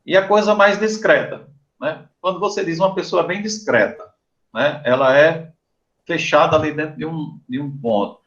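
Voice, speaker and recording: A man with a Brazilian Portuguese accent, a professor giving an informal explanatory lecture to students, often pausing to check in with "né?" and "tá?". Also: fairly high pitch, a bit bright, muffled recording. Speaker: university professor.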